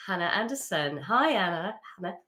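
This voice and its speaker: A woman hosting an interview, giving lively, chatty feedback on branding with frequent laughs. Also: on the low side, normal speed. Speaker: host.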